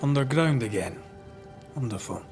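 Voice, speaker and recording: An actor playing a wry, blunt ghost hunter in a video game, informal and sardonic. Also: male voice, medium pitch, animated, moderate pace, over music, lightly acted. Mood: dry, sardonic. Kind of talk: video game cutscene acting.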